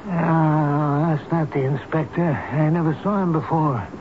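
A man's voice: a little high, fairly even intonation, a little slow, lightly acted. A man playing a stubborn, devoted old elevator operator in a radio drama, with a folksy, plain-spoken manner. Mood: suspicious. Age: older. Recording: noisy, muffled old radio broadcast recording.

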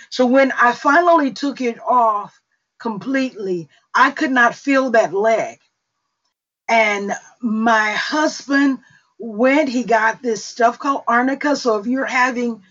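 A middle-aged woman from Mississippi talks with a Southern drawl, pitched a little high and moving very slowly through her story. Her voice comes over a dull, lo-fi call line. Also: a bit bright. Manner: slow, unhurried storytelling with fillers. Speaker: prayer call host. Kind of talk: testimony on a prayer call.

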